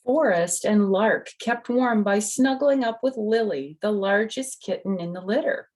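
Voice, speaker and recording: An older woman reading a picture book aloud to children, gently explaining with chatty asides and questions to the listener. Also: medium pitch, measured pace. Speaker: storyteller and host.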